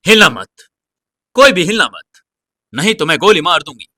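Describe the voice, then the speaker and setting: A man voicing a fiery, defiant young policewoman in a dramatized audio story, forceful and commanding. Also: high voice, sing-song, normal speed, dry recording, studio quality, voice pushed up, heavily acted.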